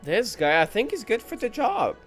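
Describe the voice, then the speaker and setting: A man's voice: sits high, huge pitch swings, moderate pace, fairly bright tone. A man casually commentating on a game playthrough live, chatty and laid-back in his short reactions. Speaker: game streamer. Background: game music.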